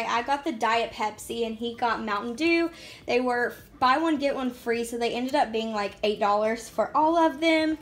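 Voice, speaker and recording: A woman's voice: high voice, a bit bright. A young woman, a mother, chatting casually and rambling a little as she talks straight to the camera in her home kitchen. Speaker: family vlogger. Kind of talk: casual grocery haul vlog.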